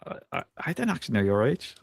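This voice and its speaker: A man's voice: mid-range voice, sing-song, fast, vocal fry, breathy edge, no room sound, studio quality. A man in his thirties chatting casually about video games as a guest. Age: 30s. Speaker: podcast guest.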